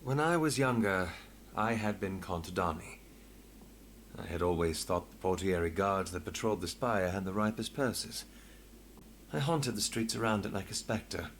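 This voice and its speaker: An actor playing a composed, wry investigator with a measured, polite manner in a fully voiced video game. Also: male voice, low-pitched, slight background noise, close to an everyday voice. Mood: wry, reflective. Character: composed male investigator, former street thief, wry and courteous. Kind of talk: scripted video game voice acting.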